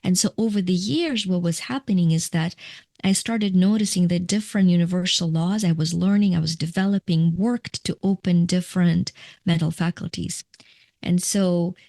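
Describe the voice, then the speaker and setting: A woman's voice: on the low side, fairly even intonation, normal speed, creaky, dark tone, no echo, studio quality. A middle-aged woman from Ukraine, a coach answering interview questions in a reflective, explanatory way with small restarts. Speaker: guest, coach.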